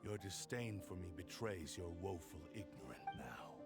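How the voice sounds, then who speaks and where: An actor playing a proud, vengeful cursed blade spirit, a theatrical and menacing villain in a video game. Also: male voice, deep voice, big pitch swings, moderate pace. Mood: cold, proud. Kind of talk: dramatic video game voice acting. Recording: loud music under the voice. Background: loud game music.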